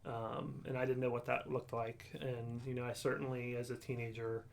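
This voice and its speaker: Forties guy from ohio, gravelly low voice, kinda flat, lots of ums while he tells his story. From Ohio, USA. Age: 40s. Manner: halting, frequent ums, reflective. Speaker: guest sharing testimony. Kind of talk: casual conversational testimony.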